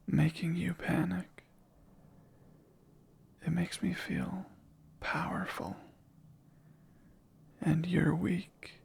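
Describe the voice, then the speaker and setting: A man voicing a possessive male sleep paralysis demon in an ASMR roleplay, whispering slowly and teasingly in a gravelly, breathy voice. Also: a little high, full character voice. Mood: possessive, menacing. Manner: hushed close whispering, slow and teasing.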